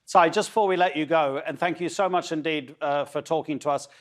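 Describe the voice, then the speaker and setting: A man working as a news anchor, asking long, measured questions in a formal interview. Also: fairly high pitch.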